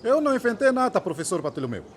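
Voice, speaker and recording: An actor playing an earnest, enthusiastic young trainee teacher, polite and insistent, in a radio drama. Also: male voice, very high voice, very animated, racing, a little hiss or hum. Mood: earnest, troubled.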